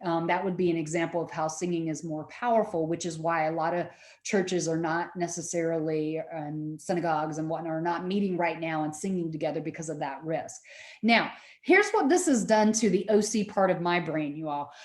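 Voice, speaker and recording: A middle-aged woman, lecturing students in a loud, projecting voice and often checking that they follow. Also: sits low, fairly quick, reverberant. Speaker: university lecturer.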